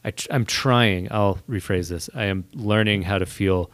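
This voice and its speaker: Deep-voiced man in his thirties, rambling. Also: moderate pace, dry recording. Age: 30s. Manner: rambling, hedging, self-correcting. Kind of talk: casual chat.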